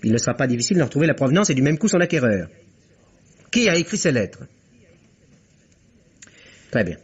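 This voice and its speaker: Husky, sardonic police inspector, dull old recording. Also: male voice, mid-range voice, sing-song. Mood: ironic probing. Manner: ironic, measured, probing. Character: shrewd, sardonic male police inspector, needling suspects. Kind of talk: vintage French radio mystery acting.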